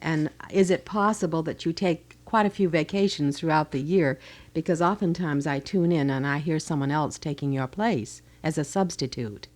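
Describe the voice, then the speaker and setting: A woman's voice: low-pitched, moderate pace, a little mellow. A middle-aged American woman co-hosting a radio show, reading listener letters with casual banter. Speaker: radio co-host. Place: radio studio. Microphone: studio broadcast microphone.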